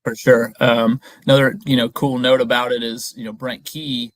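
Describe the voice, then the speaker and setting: A young man, a guest reporter, giving measured, analytical answers in a casual interview over a video call. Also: medium pitch, flat delivery, dry recording, low-quality recording. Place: indoor room at home. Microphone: webcam on a video call.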